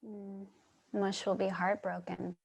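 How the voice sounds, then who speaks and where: A woman acting as a dreamy, wistful drifter in a stage play reading. She speaks slowly at a medium pitch, earnest and effusive, lingering on each phrase. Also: flat delivery, creaky, darkish tone, breathy edge, exaggerated voice. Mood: tender concern. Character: wistful, dreamy young homeless woman named Charlie. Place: remote reading over video call.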